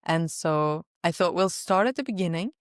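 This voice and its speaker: A Swedish woman hosting a podcast, reading a scripted intro and asking gentle questions. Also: lowish voice, expressive, normal speed, no echo, studio quality. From Sweden. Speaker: podcast host. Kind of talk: podcast interview.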